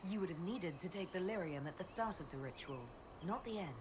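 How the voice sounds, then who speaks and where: An actress playing a composed military leader heroine in a video game, speaking in a calm, direct, probing way. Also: female voice, fairly low pitch, a little fast, noisy background, close to an everyday voice.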